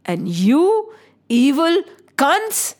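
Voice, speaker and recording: A woman's voice: sits high, very animated, drawn-out words, full character voice. A woman voicing a mocking, all-powerful goddess in a storybook tale, making taunting, emphatic pronouncements. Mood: menacing prophecy.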